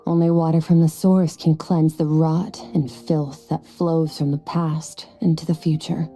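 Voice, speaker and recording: A woman playing an aloof, stoic young warrior and mentor in a video game, speaking in a cool, measured, matter-of-fact manner. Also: low voice, level tone, mellow, soft background music. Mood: solemn. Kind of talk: video game character acting.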